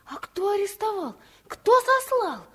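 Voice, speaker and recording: A woman's voice: very high voice, sing-song, unhurried, heavily acted. An actress playing an eager, inquisitive, impressionable little girl, childlike and insistent, in a Soviet radio play. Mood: earnest.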